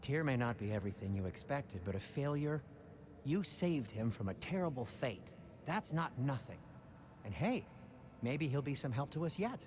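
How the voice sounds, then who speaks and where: A man's voice: medium pitch, expressive, moderate pace, background noise, close to an everyday voice. An actor playing an anxious but kindly, fussy male dwarf in a video game cutscene. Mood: wry, encouraging.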